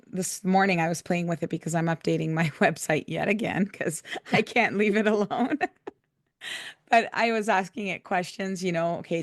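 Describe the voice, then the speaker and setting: A woman hosting a podcast in casual chat, her voice raspy and hoarse from laryngitis, chatty and laughing often. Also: lowish voice, no echo, clean studio sound. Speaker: podcast host.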